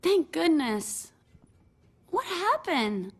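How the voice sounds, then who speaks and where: Game cutscene role: earnest, trusting wrestling manager, plaintive, high pitch, unhurried. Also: female voice, big pitch swings, exaggerated voice. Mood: dazed, trusting. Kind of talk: video game cutscene acting.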